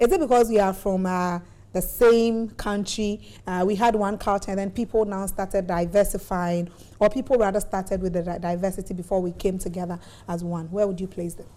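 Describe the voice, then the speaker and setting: A woman with a Ghanaian accent hosting a TV studio discussion, chatty and quick with murmured agreement. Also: medium pitch, fairly even intonation, mellow. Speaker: TV talk show host.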